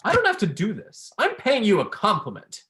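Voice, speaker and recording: A student actor playing a pompous, easily wounded academic, declaiming in a staged play reading. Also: male voice, high voice, wildly swooping pitch, a little fast, sharp tone, heavily acted. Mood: wounded, peevish. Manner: declamatory, oratorical.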